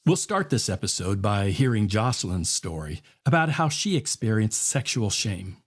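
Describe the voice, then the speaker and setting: A man hosting a podcast, reading an earnest, measured introduction. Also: fairly low pitch, very animated. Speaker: podcast host.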